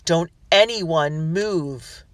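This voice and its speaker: A narrator voicing a colonial merchant father who gives orders and tries to calm his family, low and steady. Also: brisk, far from the mic, lightly acted. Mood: stern. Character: stern colonial merchant father, fearful underneath.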